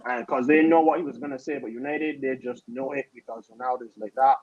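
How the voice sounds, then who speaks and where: A young man co-hosting a casual football chat, relaxed and slangy, often trailing off mid-sentence. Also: mid-range voice, fairly quick, dry recording. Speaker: co-host. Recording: dull lo-fi sound.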